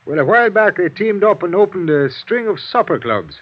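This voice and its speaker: An actor playing a chatty, world-weary homicide lieutenant in a 1950s radio drama, talking in a folksy, grumbling, familiar way. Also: male voice, sits high, big pitch swings, a little fast. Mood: matter-of-fact. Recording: dull, noisy vintage radio recording.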